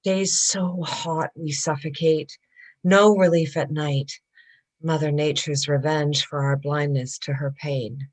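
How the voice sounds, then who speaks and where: Low, slow woman in dramatic reading. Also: fairly even intonation. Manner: grave, drawn-out delivery. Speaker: reader in a play reading.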